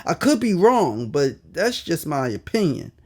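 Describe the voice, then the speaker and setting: A man with a slightly high-pitched voice reads his own life story. He tells it conversationally and candidly, in colloquial language, leaning into emphatic asides as an audiobook narrator. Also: very animated, unhurried, darkish tone. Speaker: author reading own autobiography.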